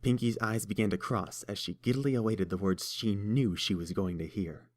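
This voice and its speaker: A man reads the story aloud in a steady, measured way, his voice sitting a little low. He narrates evenly, handing off to the characters' voices. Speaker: audiobook narrator. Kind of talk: scripted fan fiction narration.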